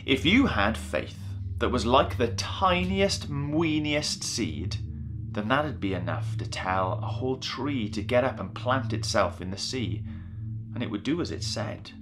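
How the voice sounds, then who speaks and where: A man reading a children's Bible story in a chatty, playful, animated way. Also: medium pitch, a little slow. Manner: chatty, playful, acting out voices. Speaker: story reader. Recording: music behind the voice. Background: music.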